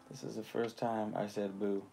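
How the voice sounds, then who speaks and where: A man's voice: lowish voice, flat delivery, normal speed, vocal fry, warm tone, husky. Man from California, livestream host, loose rambling chat with lots of ums, faint music underneath. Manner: rambling, laid-back, frequent ums, greets viewers by name. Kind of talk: casual livestream chat reading viewer comments.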